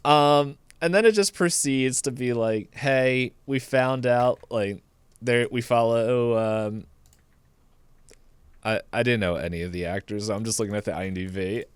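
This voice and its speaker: A man's voice: mid-range voice, measured pace, smooth, no echo. A man co-hosting a podcast, giving a casual, rambling, comedic movie recap and acting out the characters' lines in his own words. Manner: laughs through the telling.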